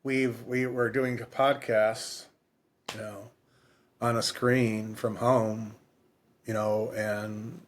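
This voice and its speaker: A man co-hosting a podcast in casual chat, thinking aloud in a halting way. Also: fairly low pitch, level tone, slight background noise. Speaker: podcast co-host.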